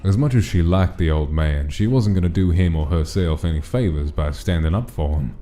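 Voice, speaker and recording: A man's voice: low voice, moderate pace, warm tone. A man reading a scripted, dramatic story as its narrator. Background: background music.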